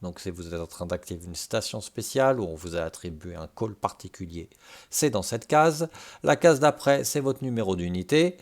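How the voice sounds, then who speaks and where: A man presenting an informal step-by-step software tutorial, explaining things and checking in with short tags. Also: low-pitched, expressive, normal speed. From Brittany, France.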